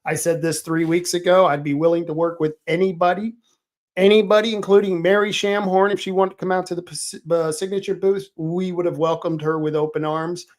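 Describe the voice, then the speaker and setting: A middle-aged man with a somewhat high voice hosts a political livestream. He talks casually, with many restarts and fillers. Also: flat delivery, brisk. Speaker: political talk show host.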